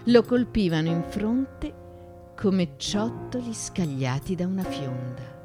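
Low-voiced woman, intense slow dramatic reading. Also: animated. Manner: intense, theatrical, deliberate phrasing with pauses. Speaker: actress reading literary monologue. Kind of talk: dramatic literary narration for radio theatre. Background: music.

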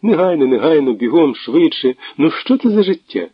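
A chatty, mocking young woman on the telephone, her voice lifted high and loud with quick laughter in it, as a male reader puts her on. The sound is dull and dry, like an old lo-fi tape. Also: sing-song, slightly rough, dry recording. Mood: teasing. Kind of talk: audiobook reading.

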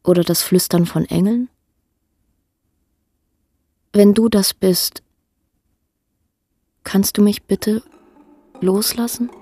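An actress playing a weary woman who longs for God, reciting poetic radio drama in a measured, lyrical way. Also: mid-range voice, dark tone, husky, no echo. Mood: searching, anxious. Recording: music under the voice. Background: music.

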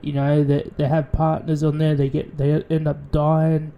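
A man's voice: fairly high pitch, completely flat delivery, moderate pace, lo-fi sound. A man with an Australian accent, hosting a radio show in a rambling, profane casual monologue with many restarts.

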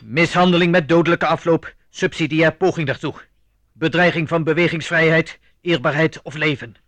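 An actor playing a grumbling secret agent reporting by radio in a radio drama. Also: male voice, fairly high pitch, moderate pace, lightly acted. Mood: officious. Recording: noisy two-way radio call.

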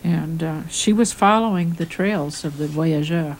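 A woman's voice: sits low, unhurried, a little mellow, slight background noise, poor audio. A woman from Michigan hosting a casual radio talk show, steering the conversation. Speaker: radio host.

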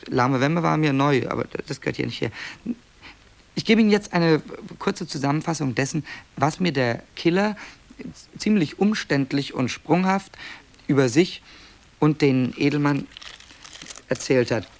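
A man's voice: a little high, expressive, a little slow, creaky, long pauses. A man playing a wry, digressive author who presents found tapes in a radio play, speaking conversationally and hesitantly in a dryly matter-of-fact way. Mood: dry, explanatory. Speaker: narrator.

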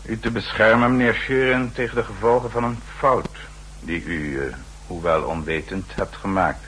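Menacing butler-spy for a radio play, male actor: very low, measured, deferential, on a faintly humming old recording. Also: fairly bright tone, a little hiss or hum, lightly acted, low-quality recording. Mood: polite, menacing. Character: formal male manservant, polite and quietly menacing secret agent. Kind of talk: 1960s Dutch radio drama acting.

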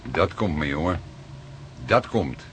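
A man playing an unhurried, wry, stubborn veteran police detective in a radio drama, speaking in a deliberate, dry, fatherly way. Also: very deep voice, expressive, loud music behind the voice, heavily acted. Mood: musing, dry. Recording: noisy archive radio drama recording.

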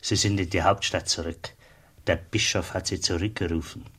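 Low, husky kindly customs man. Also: fairly even intonation, normal speed, a bit bright. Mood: friendly. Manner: simple, friendly, familiar. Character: kindly, plain-spoken, devout border customs officer. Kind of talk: German radio drama acting.

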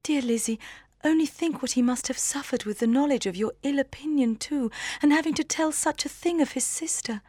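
A soft-hearted young woman speaks in a tender, breathy voice pitched far above a man's, put on by a male audiobook narrator. She sounds gentle and sympathetic. The storybook reading is dry and close. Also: a little fast, no echo. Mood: tender dismay.

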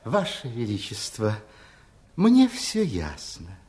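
An actor playing a wise, solemn doctor-magician in a fairy-tale audio play, courteous and formal. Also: male voice, medium pitch, wildly swooping pitch, takes it slowly, dark tone. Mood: grave, measured.

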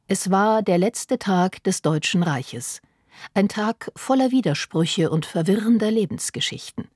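A female announcer reads a scripted introduction in a low, mellow voice. It is soft and breathy, recorded very dry. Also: no room sound.

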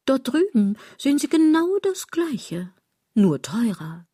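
A woman's voice: high-pitched, big pitch swings, takes it slowly, mellow, husky, no echo, clean studio sound, heavily acted. An actress playing a sardonic, aging woman in a literary radio drama, speaking in an ironic, declamatory way. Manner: ironic, declamatory, wordplay-laden delivery. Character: sardonic aging woman musing on fashion and consumerism.

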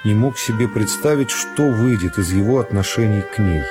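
A man's voice: lowish voice, normal speed, loud music behind the voice. A man reading scripted literary narration as the storyteller of a radio drama.

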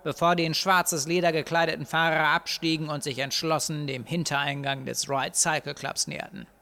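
A man's voice: fairly high pitch, little pitch movement, normal speed, pushed and bright. A man as the narrator of an amateur pulp horror audio drama, reading a dramatic script. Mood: dramatic, suspenseful.

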